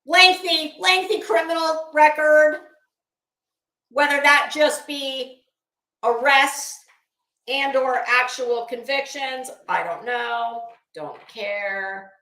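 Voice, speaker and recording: A woman's voice: high voice, slow pace, bright, forceful tone, roomy sound, low-quality recording. A woman doing casual livestream commentary as host, blunt, salty and emphatic, with a disdainful edge.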